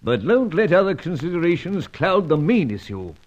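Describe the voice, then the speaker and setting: Skeptical titled gentleman, British accent, fairly high voice, dry pompous manner, dull vintage radio recording. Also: very animated, moderate pace. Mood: cautioning. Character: suave, skeptical older British spymaster knight, paternal and dry. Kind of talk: old-time radio adventure serial acting.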